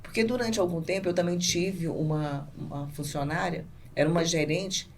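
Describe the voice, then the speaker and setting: A middle-aged woman with a Brazilian Portuguese accent answers interview questions conversationally, pausing and restarting as she searches for words. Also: on the low side. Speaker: podcast guest, business owner. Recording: clearly noisy background ambience.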